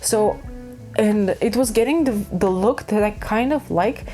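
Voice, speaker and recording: A woman's voice: mid-range voice, unhurried, mellow, faint music behind. A woman from Ukraine chatting casually to camera about her craft projects, rambling with many fillers and restarts. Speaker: craft vlogger.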